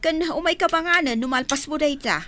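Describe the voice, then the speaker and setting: A woman's voice: sits high, a little fast, bright, forceful tone, a little hiss or hum. A woman playing a worn, nagging mother of a struggling village household in a comedy radio drama. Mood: fretful.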